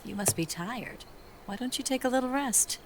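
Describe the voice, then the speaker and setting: A woman's voice: a little high, animated, moderate pace, background noise. A woman playing a cool, teasing spy in a video game cutscene, poised and coy in her delivery. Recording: night insect ambience behind the voice.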